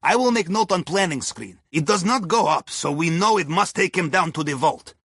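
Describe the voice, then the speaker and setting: A man's voice: on the high side, animated, normal speed, fairly bright tone, husky, no echo, clean studio sound, full character voice. An actor playing a shrewd, genial Russian submarine crewman in a video game, guiding missions in clipped, dryly conspiratorial phrases with a Russian accent. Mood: dryly playful. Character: shrewd, genial male Russian submarine captain's aide and heist planner. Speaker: mission guide over comms.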